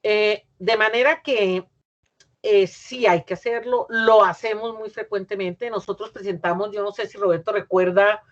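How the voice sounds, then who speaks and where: A middle-aged Colombian woman, a university professor, giving an academic lecture over a video call, measured and explanatory, with frequent pauses and self-corrections. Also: mid-range voice, steady tone. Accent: Colombian Spanish. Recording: muffled lo-fi video call audio.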